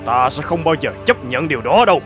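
A man playing a wrathful, commanding old emperor of heaven in an animated fairy tale, stern and imperious. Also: on the high side, brisk, soft background music. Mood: furious.

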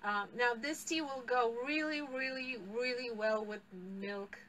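Bright-voiced woman with a Polish accent. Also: fairly high pitch, a little slow, far from the mic, a little hiss or hum, low-quality recording. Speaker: tea class host. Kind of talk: live tasting class. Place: tea shop, indoors. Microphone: far camera across table.

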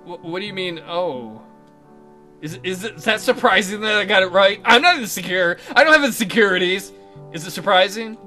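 An insecure, touchy schoolboy from a visual novel , played by a man, defensive and melodramatic. The high voice is bright and jumps around in pitch. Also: animated, a little fast, faint music behind. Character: touchy, insecure, struggling schoolboy from a dating game. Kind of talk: visual novel dialogue read aloud.

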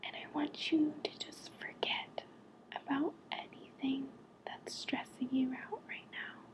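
A woman whispering softly and breathily close to the mic, guiding a relaxation session in a gentle, reassuring manner. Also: sits high, rough recording. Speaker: ASMR host guiding relaxation.

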